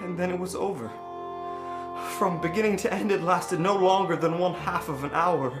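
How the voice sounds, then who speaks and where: An actress playing a frightened, desperate villager in an audio drama, earnest and pleading in a theatrical way. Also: female voice, on the low side, normal speed, close to an everyday voice. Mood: haunted, horrified. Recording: echoing hall, music behind the voice.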